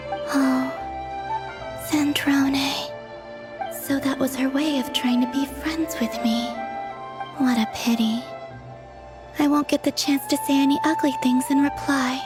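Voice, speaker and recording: A woman voicing a gentle, wistful, otherworldly young maiden in a video game, soft, breathy and musing. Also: sits high, steady tone, slow pace, pauses often. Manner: dreamy, musing. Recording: long hall reverb, music underneath.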